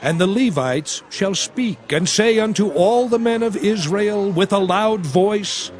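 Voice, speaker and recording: An actor playing a commanding biblical leader proclaiming law to his people, loud and deliberate, in a dramatized scripture reading. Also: high-pitched, faint music behind. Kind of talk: dramatized King James Bible reading.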